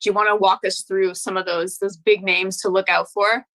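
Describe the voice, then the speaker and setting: A woman's voice: mid-range voice, level tone, moderate pace, fairly bright tone, no room sound. A woman interviewing a guest with prepared questions. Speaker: team video interviewer.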